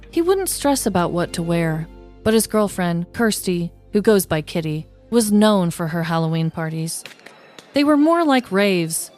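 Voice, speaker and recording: A woman co-hosting a podcast, chatting and telling stories in an expressive, emphatic way. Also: on the low side. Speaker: podcast co-host. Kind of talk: casual chat and storytelling.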